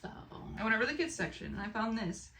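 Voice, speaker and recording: A woman vlogger chatting casually and excitedly to the camera, animated and rambling with expressive asides. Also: on the high side, quick pace, background noise. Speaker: book haul vlogger and fiction author.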